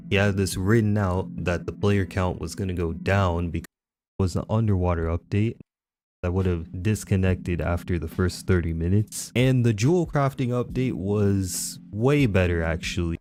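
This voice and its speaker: Man, gaming video narration, monotone, very deep voice. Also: normal speed, faint music behind. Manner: monotonous, flat.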